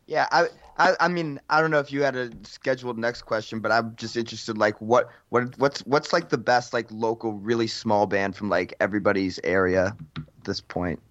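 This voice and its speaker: A man's voice: medium pitch, steady tone, moderate pace, a bit bright, muffled recording. A nineteen-year-old man calling in to a casual chat, hesitant and stumbling over his first words. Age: teens. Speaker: caller.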